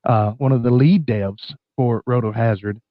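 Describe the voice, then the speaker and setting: A man hosts a casual live stream with a scratchy, sick-sounding voice at a middling pitch. He talks in a folksy, halting way. The sound is dry and a little dull. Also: no echo. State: bronchitis, scratchy voice.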